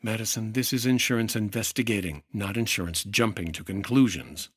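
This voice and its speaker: An actor playing a wry, persistent 1950s insurance investigator in the dry, clipped style of a radio detective drama. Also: male voice, lowish voice, brisk, slightly rough. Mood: firm, probing.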